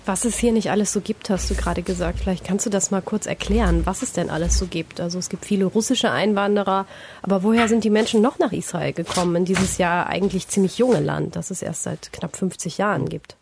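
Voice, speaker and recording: A woman's voice: on the low side, fairly even intonation, brisk, gravelly, a little mellow, slight background noise. A woman co-hosting a studio talk show, introducing a guest with a few hesitations. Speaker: radio co-host. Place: radio studio.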